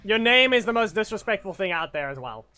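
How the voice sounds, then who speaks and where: High, bright-voiced excitable gamer guy. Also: fairly quick, clean tone, faint music behind. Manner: excitable, calls out moves and trash-talks opponents. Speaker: gaming channel host. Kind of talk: live gameplay commentary.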